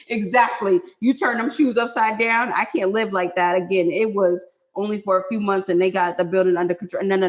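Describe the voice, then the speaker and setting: A young woman from Boston with a Boston accent chats on a live stream. She is expressive and a bit long-winded, and her voice sits at an ordinary pitch for a woman. She spills one story after another with easy laughter. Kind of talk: casual chat.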